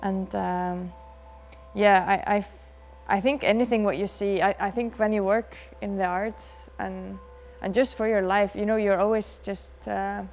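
A woman in her thirties with an Icelandic accent talks through interview answers in a dark studio, pausing often and filling gaps as she thinks. Her voice stays even and unhurried, with a slight gravelly texture. Faint music sits quietly underneath. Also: mid-range voice. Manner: soft-spoken, thoughtful, many pauses and fillers. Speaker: dancer and choreographer.

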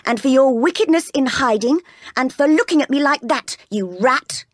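A woman's voice: sits high, expressive, normal speed, dry recording, clean studio sound, pitched above the performer's own voice. A woman voicing an arrogant, spoiled young rich boy in a storybook, haughty and accusing. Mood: haughty, bullying.

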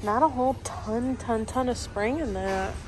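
A woman's voice: fairly high pitch, normal speed, poor audio. A young woman vlogging while she shops, chatting and thinking aloud about items on the shelves. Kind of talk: casual shopping vlog chat. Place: large retail store, indoors. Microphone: handheld phone camera. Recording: store music playing in background. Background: store music overhead.

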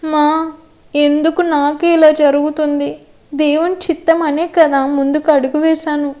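A woman's voice: high voice, little pitch movement, normal speed, mellow, close to an everyday voice. A woman playing an anxious, devout and stubbornly loyal young woman in a web series drama, with an earnest, pleading manner. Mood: distressed, fearful.

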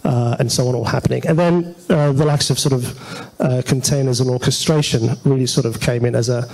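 A man's voice: medium pitch, fairly even intonation, a little fast, vocal fry, darkish tone, rough recording. A man giving an informal technical presentation as a conference speaker, talking in a conversational, hesitant way. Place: auditorium stage at a meetup.

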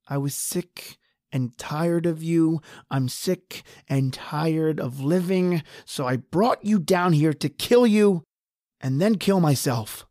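A man's voice: a little high, slow, a little mellow, no room sound, studio quality. A man playing a desperate, injured husband trapped underground in a horror radio drama. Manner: pleading, ragged, then defiant.

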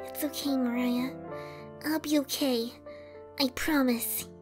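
A woman voicing a gentle, sad young pony queen in an animated fan drama, speaking hesitantly and with self-doubt. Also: high voice, slow, music in the background. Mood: uncertain.

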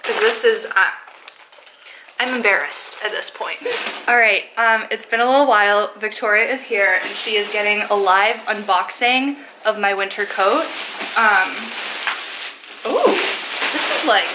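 A woman in her twenties, a native Polish speaker, chatting casually to a handheld camera in a self-mocking vlog style, voice a little hoarse. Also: medium pitch, measured pace, pauses often, noisy background. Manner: chatty, self-mocking, sprinkled with likes and ums. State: slightly hoarse. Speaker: vlogger. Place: apartment living room, car and store. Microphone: handheld camera close up.

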